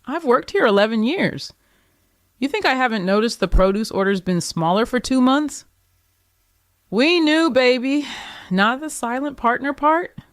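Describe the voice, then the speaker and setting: A man's voice: very high-pitched, expressive, measured pace, fairly bright tone, smooth, no echo, studio quality, voice pushed up, heavily acted. A man voicing motherly, blunt older women in an audio story, chiding and familiar. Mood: motherly, firm.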